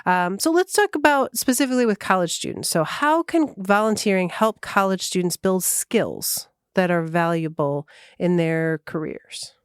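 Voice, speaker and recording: Smooth-voiced woman hosting a career chat. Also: fairly low pitch, moderate pace, no echo, studio quality. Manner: warm agreeable listener, many fillers. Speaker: host, career services interviewer. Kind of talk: casual interview chat.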